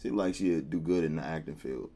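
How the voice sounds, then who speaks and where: A man's voice: extremely low pitch, fast talker, mellow, slight background noise. A man hosting a reaction video at home, chatting casually with playful slang.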